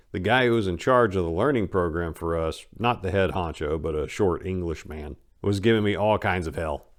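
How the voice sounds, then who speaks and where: A man hosting and narrating a story in an informal, conversational, dramatic reading style. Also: fairly low pitch. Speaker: storyteller and channel host.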